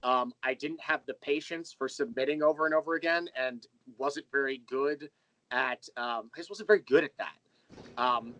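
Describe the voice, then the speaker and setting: A man's voice: mid-range voice, moderate pace, a bit bright, dry recording, poor audio. An American man chatting casually as an interview guest, candid and self-deprecating in a rambling way. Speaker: interview guest, audio drama creator.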